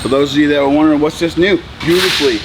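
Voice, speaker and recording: A man's voice: a little high, very fast, very noisy. A man with a Boston accent hosting a casual vlog tour of his car customization shop, brash and profane. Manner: brash, swears freely, hyped. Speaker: shop owner and vlog host.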